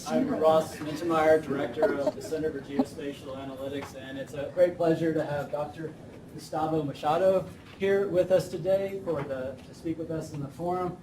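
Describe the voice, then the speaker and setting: A man, director of a research center, introduces a guest speaker with halting, filler-filled phrasing. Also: a little high, steady tone. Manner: hesitant, many fillers. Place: lecture room. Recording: distant mic, noisy room.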